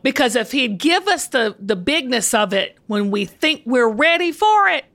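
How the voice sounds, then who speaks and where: A woman podcast host chatting casually and heartfelt with a guest, expressive and confiding, with emphatic affirmations. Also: sits high, moderate pace. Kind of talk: casual heartfelt interview chat.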